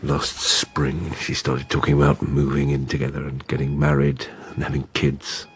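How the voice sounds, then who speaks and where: Very deep-voiced desperate confessing husband. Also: male voice, monotone, normal speed, breathy edge, full character voice. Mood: weary, bitter. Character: desperate adulterous husband confessing to murder, weak and self-pitying. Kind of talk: radio drama acting.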